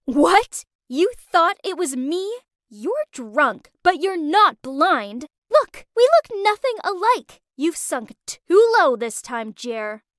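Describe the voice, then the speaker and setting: A young woman speaks in a shrill, emphatic way, voiced by the narrator. Her voice is high and swoops widely. The recording is dry and close. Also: sing-song, slow, a bit bright, no room sound, heavily acted. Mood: furious. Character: young woman friend, excitable then furious.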